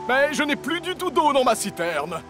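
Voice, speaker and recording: A man's voice: extremely high pitch, very animated, moderate pace, over music. A man voicing an earnest male fire engine in a children's cartoon dub, given to exclamations. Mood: concerned.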